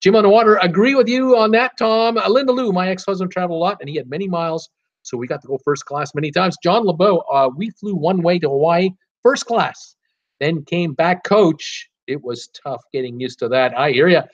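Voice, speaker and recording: A man's voice: high-pitched, brisk. A man hosting a live cruise Q and A stream, reading viewer comments aloud and replying in a chatty, easygoing way with lots of "uh"s. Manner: reads out chat names and replies in a chatty, easygoing way with many "uh"s. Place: temporary home setup in a city. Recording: dull, lo-fi live-stream audio.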